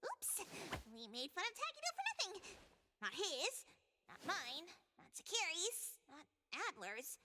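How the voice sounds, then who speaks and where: A woman voicing an excitable, nosy, childlike girl in an anime-style game, playful and chirpy. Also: very high voice, measured pace, full character voice. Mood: curious. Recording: reverberant hall-like sound.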